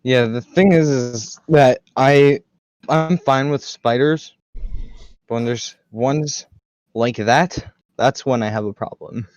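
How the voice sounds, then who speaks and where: A man's voice: mid-range voice, slow pace, darkish tone, dry recording, dull audio. An American man hosting a podcast, chatting casually, telling anecdotes and laughing easily. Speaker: podcast host.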